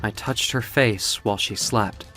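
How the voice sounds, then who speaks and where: A man's voice: fairly low pitch, a little slow, pushed and bright. A man voicing a proud, capricious ancient magical being in florid, theatrical storybook narration. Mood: hushed, proud. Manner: florid, theatrical, self-satisfied. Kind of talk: dramatic first-person storybook narration. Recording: music behind the voice. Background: music.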